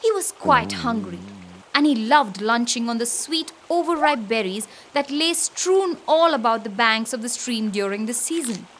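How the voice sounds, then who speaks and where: A woman narrating a children's bedtime story in an expressive, scripted style. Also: on the high side, moderate pace, slight background noise. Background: background music.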